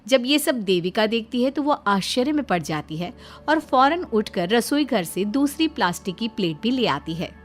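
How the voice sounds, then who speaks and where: High-voiced woman narrating a story. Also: very animated, moderate pace, faint music behind. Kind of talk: scripted moral story narration.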